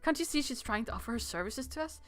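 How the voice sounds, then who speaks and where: A woman voicing a polite, anxious young travel companion in a visual novel game, pleading softly. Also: fairly high pitch, animated, fast talker, lightly acted. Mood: apologetic.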